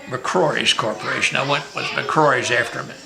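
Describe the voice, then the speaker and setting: A man's voice: mid-range voice, normal speed, gravelly, over music. An older man from Maryland, a grandfather telling his life story in a rambling, joking way during a casual family interview at home. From Maryland, USA.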